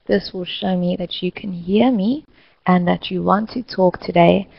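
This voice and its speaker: Female online English tutor, heavy vocal fry, slow clear encouraging delivery. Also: lowish voice, no room sound, highs cut off. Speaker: English teacher hosting an online class. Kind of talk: scripted online lesson facilitation.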